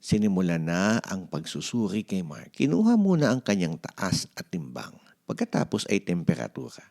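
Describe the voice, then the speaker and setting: Deep, creaky Filipino man reading a storybook. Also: wildly swooping pitch, normal speed, darkish tone. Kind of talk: expressive children's storybook read-aloud.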